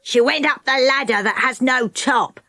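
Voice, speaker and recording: A woman's voice: high-pitched, moderate pace, pushed and bright, no room sound, studio quality. A woman voicing a cross, grumbling old woman in a fairy tale, scolding and curt. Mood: sour, grudging.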